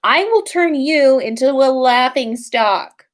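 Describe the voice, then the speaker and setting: A woman's voice: high-pitched, animated, takes it slowly, voice pushed up. A woman voicing a haughty, easily flustered teenage schoolgirl from a storybook, indignant and snobbish. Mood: threatening.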